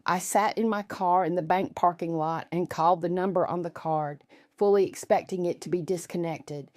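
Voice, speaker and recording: Woman narrating a first person story, pretty low voice, very dry recording. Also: steady tone, normal speed, darkish tone, dry recording.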